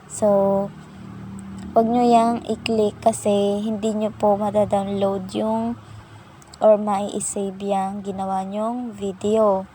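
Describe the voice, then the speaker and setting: A woman narrates an app tutorial, guiding viewers patiently and politely through each step. Her voice is soft and mellow, and her delivery is slow and even, with little rise or fall in pitch. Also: a little hiss or hum, poor audio. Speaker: tutorial channel host.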